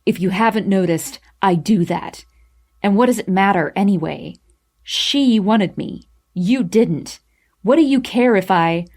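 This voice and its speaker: A brooding young man voiced by a woman narrator in an audiobook romance. He is defiant and bitter, with curt, cutting replies delivered at a slow pace. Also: on the low side, fairly even intonation, creaky, a little mellow. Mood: angry, bitter.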